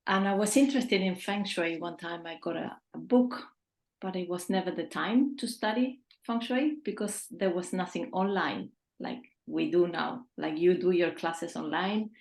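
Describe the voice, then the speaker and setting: Chilean-born woman in her fifties, lowish voice, earnest interview guest on a call, roomy echo. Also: a little mellow. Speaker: feng shui consultant, guest. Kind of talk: casual interview answers.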